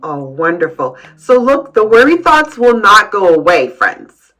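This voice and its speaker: A woman reading a picture book aloud to young children, adding gentle, encouraging comments and addressing them as friends. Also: medium pitch, big pitch swings, measured pace, faint music behind, rough recording. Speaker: children's read-aloud host.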